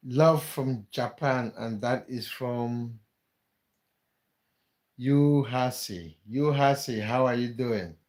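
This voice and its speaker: A man's voice: medium pitch, extremely slow, darkish tone. A man from Cameroon, hosting a casual live stream, chatting with viewers and often repeating words as he thinks aloud. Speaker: livestream host.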